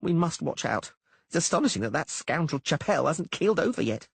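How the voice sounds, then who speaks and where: A wry halfling trader with a raised, husky voice, voiced by a male narrator. He speaks bluntly and briskly in an audiobook. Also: on the high side, very animated, no echo, voice pushed up, heavily acted. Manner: brisk, snappy.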